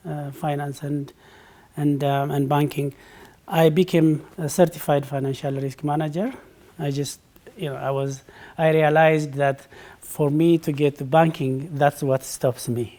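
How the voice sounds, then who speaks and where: A man's voice: fairly high pitch, gravelly, warm tone. A middle-aged man from Ethiopia, a guest in a conversational interview, who answers thoughtfully with frequent fillers and restarts.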